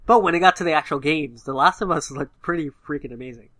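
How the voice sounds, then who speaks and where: A man's voice: fairly high pitch, fast, a bit bright, no room sound. A man with a slightly nasal, stuffy-nosed voice, chatting casually about video games as a podcast co-host, rambling with lots of fillers. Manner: rambling, filler-heavy, enthusiastic. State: stuffy nose. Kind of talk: casual gaming chat.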